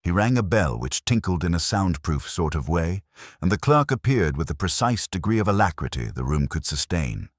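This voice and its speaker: A man reading a scripted literary mystery as narrator, in a measured, formal storytelling manner. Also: sits low, big pitch swings, no room sound, studio quality.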